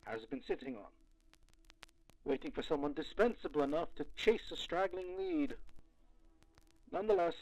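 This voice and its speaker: A man's voice: a little high, low-quality recording. A man playing a wry, jaded investigator dictating formal field logs in a scripted audio drama. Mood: dry, resigned. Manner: sardonic, formal, articulate.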